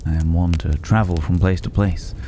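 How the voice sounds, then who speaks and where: A man playing a regal, teasing drifter with a secret in an intimate ASMR roleplay, speaking with wry condescension and drawn-out pauses. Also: deep voice, fairly even intonation, natural voice. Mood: knowing, wry. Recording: clearly audible background noise.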